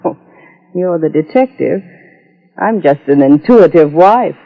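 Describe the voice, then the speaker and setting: Haughty, swooping radio-drama wife. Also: female voice, low-pitched, animated, slow pace, gravelly, full character voice. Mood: accusing. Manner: theatrical, arch, cutting. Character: haughty, scornful wife of a tennis player. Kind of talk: 1950s radio acting. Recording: muffled old radio recording.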